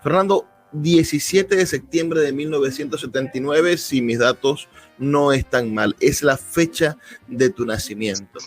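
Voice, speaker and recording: A Venezuelan man with a medium-pitched voice speaks as a radio interviewer. His delivery is semi-formal and deliberate, with long questions, and music plays behind him.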